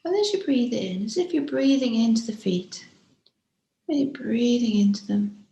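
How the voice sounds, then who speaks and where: A woman guiding a body scan meditation as a teacher, speaking gently and softly, unhurried, with frequent pauses and breathy phrase endings. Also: a little high, lively intonation. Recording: roomy, echoing room sound.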